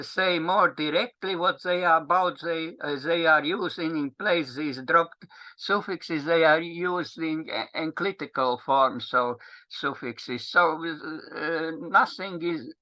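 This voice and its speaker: A man with a Latvian accent, a native Latvian speaker, giving an unscripted linguistics talk in English, halting and searching for words with frequent restarts. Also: on the high side, pushed and bright, poor audio.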